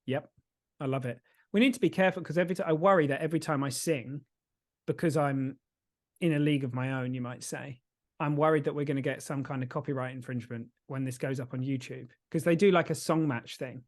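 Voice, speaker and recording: A man's voice: mid-range voice, quick pace. A man co-hosting a podcast in casual chat, with a jokey, tongue-in-cheek way of talking. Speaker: podcast co-host.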